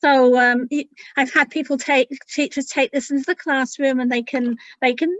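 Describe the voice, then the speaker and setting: A woman children's author speaking informally, in the unhurried way of someone reading aloud. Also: high-pitched, level tone, a bit bright, no echo, rough recording. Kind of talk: read-aloud storytelling and informal talk.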